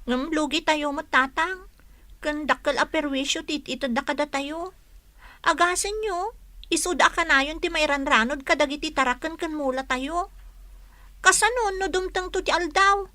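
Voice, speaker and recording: A woman's voice: high-pitched, normal speed, sharp tone, no echo. A woman playing a worried, frustrated young village daughter in a radio drama. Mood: worried protest.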